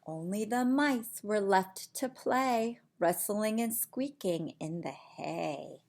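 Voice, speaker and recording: A middle-aged woman reads a picture book aloud like a preschool teacher. Her pace is slow and sing-song, with a creaky edge to the voice. She adds simple asides for little listeners. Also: mid-range voice, darkish tone. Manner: deliberate, sing-song reading with simple asides and counting questions. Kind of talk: picture-book read-aloud for young children. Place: small indoor room at home.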